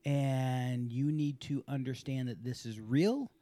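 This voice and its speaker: A man's voice: mid-range voice, fairly even intonation, takes it slowly, mellow, no room sound. Frank, profane man in his forties. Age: 40s. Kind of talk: candid podcast conversation.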